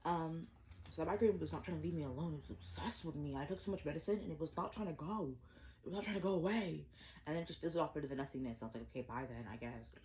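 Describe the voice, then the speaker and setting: A woman's voice: low-pitched, fast talker, dark tone, a little hiss or hum. A woman in her twenties chatting casually to camera while eating breakfast at home, rambling and self-deprecating with lots of fillers and restarts. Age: 20s. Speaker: vlogger. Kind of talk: casual eat-with-me chat to camera.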